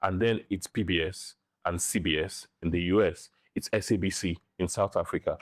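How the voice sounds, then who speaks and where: A man's voice: low-pitched, fairly even intonation, no echo. Emphatic middle-aged Nigerian man, close dry sound. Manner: emphatic, deliberate, repeats phrases for stress. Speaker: interview guest, film director and writer. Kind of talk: opinionated podcast interview talk.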